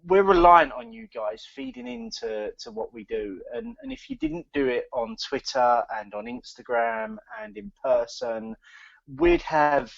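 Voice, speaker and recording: Gravelly man, halting podcast chat. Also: on the high side, huge pitch swings, no echo. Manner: halting, many fillers and repeated words. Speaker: podcast co-host. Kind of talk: casual chat. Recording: dull, lo-fi sound.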